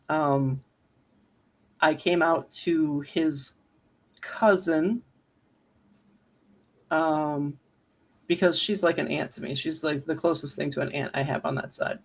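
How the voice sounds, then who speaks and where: A speaker with a male-sounding voice telling personal stories casually, in an informal and candid way, as a panelist. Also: mid-range voice.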